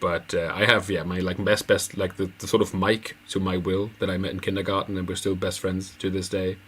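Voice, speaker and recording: A man with a very deep, low-pitched voice rambles through a casual pop-culture chat. He jokes, drifts off on tangents and keeps breaking into laughter. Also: little pitch movement, a little fast, a bit bright, slight background noise. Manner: laughs often, rambling and jokey. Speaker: podcast co-host.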